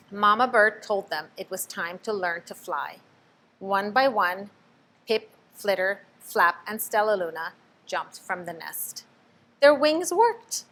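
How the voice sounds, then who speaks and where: A woman reading a children's picture book aloud, expressive, stopping to ask the listener questions. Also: mid-range voice, a little slow, slight background noise. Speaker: storybook reader.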